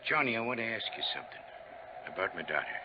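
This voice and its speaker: A man's voice: fairly low pitch, sing-song, fast. An American actor playing an older, plain-spoken small-town father with a homespun, rambling manner in 1950s radio drama. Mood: worried, earnest. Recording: muffled, noisy 1950s radio recording.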